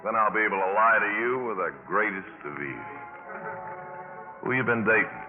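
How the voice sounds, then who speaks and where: An actor playing a wisecracking, roguish college playboy in a 1950s radio drama, wry and flippant. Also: male voice, lowish voice, lively intonation, normal speed. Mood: sardonic. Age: young adult. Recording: muffled narrow-band 1950s radio, music behind.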